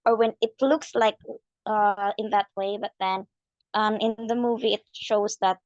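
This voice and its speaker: A young woman with a hoarse, husky voice giving a class presentation, explaining as she goes and leaning on "so" and "like". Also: medium pitch, fairly even intonation, a little slow, a little mellow, no room sound. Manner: explanatory, leans on "so" and "like". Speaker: student presenter. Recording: dull lo-fi mic.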